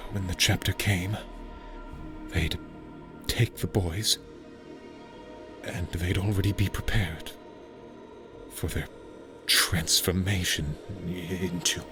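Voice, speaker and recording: Male actor for a grieving uncle, former orphanage keeper; low, unhurried, hushed, over a music bed. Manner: slow, hushed and halting, rising to anguish. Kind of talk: fan audio drama acting. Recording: music score behind.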